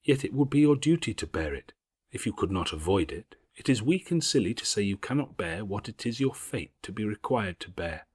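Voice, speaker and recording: A male reader voices a bookish, devout older schoolgirl in an audiobook. The delivery is earnest and measured, gently moralising, with expressive rises and falls. The tone has a soft huskiness. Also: on the low side, husky, no echo, clean studio sound. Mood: gently admonishing. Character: patient, devout, bookish older schoolgirl, gravely self-critical. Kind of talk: audiobook character voice.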